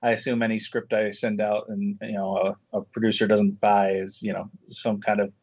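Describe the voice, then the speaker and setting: Gravelly man, thoughtful interview guest. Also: fairly low pitch, little pitch movement, darkish tone, no room sound. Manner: halting, thoughtful, circles back through rephrasings. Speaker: guest screenwriter, director and producer. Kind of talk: casual interview talk. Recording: dull, muffled lo-fi audio.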